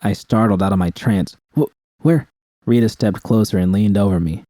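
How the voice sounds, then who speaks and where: A man's voice: lowish voice, steady tone, a little fast, mellow, no echo, studio quality. A man narrating scripted first-person fiction as an audiobook reader.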